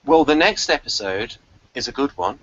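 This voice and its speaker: A man hosting a casual TV review chat, halting and repeating himself as he goes, on a lo-fi home recording. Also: medium pitch, animated, a bit bright, a little hiss or hum. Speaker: podcast review host.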